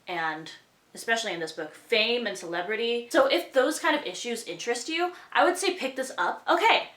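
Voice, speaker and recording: A woman's voice: on the high side, animated, moderate pace, a bit bright. A young woman giving a casual, chatty book review, speaking enthusiastically and conversationally. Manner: enthusiastic, conversational, asking rhetorical questions. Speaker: book reviewer hosting her own channel. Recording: roomy indoor echo.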